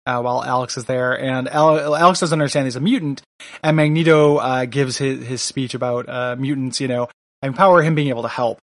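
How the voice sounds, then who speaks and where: A man's voice: medium pitch, fairly even intonation, brisk, no echo, studio quality. A man co-hosting a podcast, chatting casually and riffing with loose, swearing enthusiasm. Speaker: podcast co-host. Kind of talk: casual chat.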